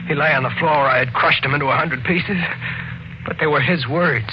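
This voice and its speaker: An actor playing a desperate, guilt-haunted killer in an old radio thriller, intense and melodramatic. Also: male voice, on the high side, fairly quick, close to an everyday voice. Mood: haunted narration. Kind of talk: 1940s radio thriller acting with confessional narration. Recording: noisy, muffled old radio recording.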